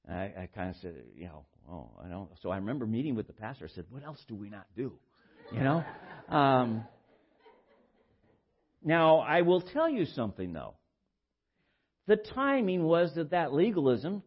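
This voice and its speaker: An older man with a baritone voice preaching informally, halting and repeating words as he shares personal stories in a conversational way. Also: sing-song, husky, muffled recording. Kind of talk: informal sermon with personal anecdotes.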